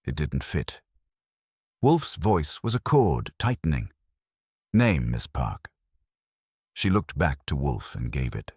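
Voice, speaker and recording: A man reading a scripted first-person detective story as an audiobook narrator, with a husky voice. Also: a bass voice, very animated, unhurried, a little mellow, no echo, clean studio sound.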